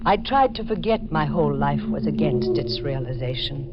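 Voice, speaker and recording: A woman's voice: sits low, lively intonation, moderate pace. An actress playing a haunted, fearful woman who broods melodramatically over her gloomy past in a 1940s radio mystery. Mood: haunted, brooding. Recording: dull 1940s radio recording, loud organ music.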